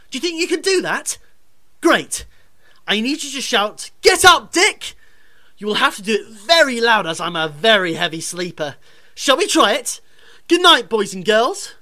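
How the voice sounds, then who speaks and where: Bright, animated young pantomime hero played by a woman. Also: medium pitch. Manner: hearty, addressing children theatrically. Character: chirpy, earnest young pantomime hero lad. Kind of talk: radio pantomime acting.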